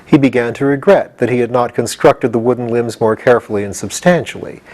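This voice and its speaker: A man's voice: mid-range voice, brisk, a little mellow, poor audio. A man narrating a children's storybook as a scripted audiobook reading. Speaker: audiobook reader.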